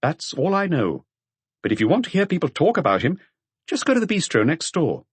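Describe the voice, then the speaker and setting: Man playing gossipy neighbourhood local, animated pitch. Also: brisk, breathy edge, no room sound, exaggerated voice. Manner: chatty, hedging, insistent. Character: gossipy working-class Parisian local, repeating hearsay.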